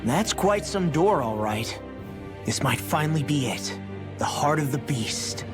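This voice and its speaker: A man's voice: fairly high pitch, sing-song, a little slow, music in the background. An actor playing an earnest, upbeat young male fighter companion in a video game cutscene. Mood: awed resolve. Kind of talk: dramatic game cutscene acting.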